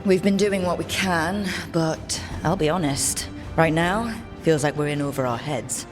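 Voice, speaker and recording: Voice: medium pitch, measured pace, close to an everyday voice. An actor as plain-spoken game hero over music. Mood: uneasy. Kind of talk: video game cutscene acting.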